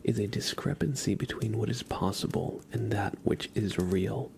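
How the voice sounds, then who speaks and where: A man hosting an ASMR livestream in a hushed, breathy whisper, chatting casually with frequent pauses, close to the microphone. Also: on the low side, slight background noise. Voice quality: breathy whisper with creaky voiced patches. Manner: unhurried, hushed, pausing often. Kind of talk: whispered ASMR, casual tarot card reading and chat with viewers.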